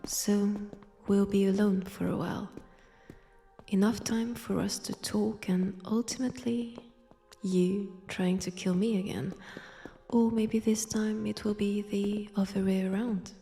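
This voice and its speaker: A woman with a French accent voicing an ancient, teasing vampire who poses as a nun, in a hushed, intimate roleplay drama. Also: mid-range voice, fairly even intonation, soft background music, close to an everyday voice. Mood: teasing, conspiratorial. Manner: slow, teasing, hushed, dropping into whispers. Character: ancient, powerful female vampire posing as nun, teasing, sardonic. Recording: long church-like reverb.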